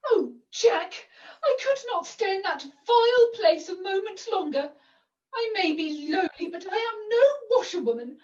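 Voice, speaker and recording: Pantomime maid, woman performer: lowly, plaintive, melodramatic, very high and swooping. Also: animated, a little slow, darkish tone. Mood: indignant, distressed. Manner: florid, old-fashioned, plaintive speech. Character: Elsie, a lowly, overwrought, melodramatic young runaway maid. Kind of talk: theatrical pantomime acting. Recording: echoey distant muffled video-call audio.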